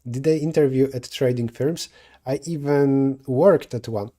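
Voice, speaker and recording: Mellow-voiced Polish man thinking aloud. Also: medium pitch, a little slow. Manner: thinking aloud, self-correcting mid-sentence. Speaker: streamer solving coding problems. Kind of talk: live coding stream, answering chat.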